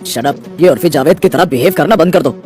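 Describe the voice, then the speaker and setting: A woman voicing the various men of a storybook cartoon, from a grumbling tailor to a taunting goon, in a gruff, scornful way. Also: low voice, a little fast, a little mellow, close to an everyday voice. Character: rough male men of the town, master ji, Sahil, goon. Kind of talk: storybook character voices. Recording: faint music behind.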